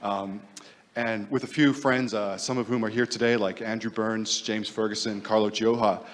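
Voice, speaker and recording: A man's voice: lowish voice, steady tone, normal speed. A man from Hong Kong, a fund manager introducing himself on a panel in a friendly, lightly joking way. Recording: long hall reverb.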